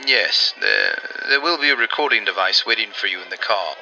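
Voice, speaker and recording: Man playing an earnest, very sharp-voiced wartime operative. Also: lowish voice, huge pitch swings, brisk, vocal fry, soft background music, full character voice, low-quality recording. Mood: businesslike, urgent. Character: earnest, persuasive male Allied wartime intelligence operative. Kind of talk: 1940s radio drama acting.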